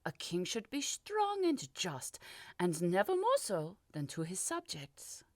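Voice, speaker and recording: A woman voicing a composed pregnant queen who speaks calmly and persuasively. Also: fairly low pitch, dry recording, studio quality, full character voice. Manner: calm, measured, softly persuasive.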